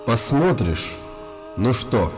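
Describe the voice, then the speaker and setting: An actor playing a chronicler who solemnly recalls disasters of old, in declamatory radio drama narration. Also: male voice, mid-range voice, big pitch swings, slow, over music. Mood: grave, bleak. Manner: grave, declamatory storytelling. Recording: clear background ambience noise.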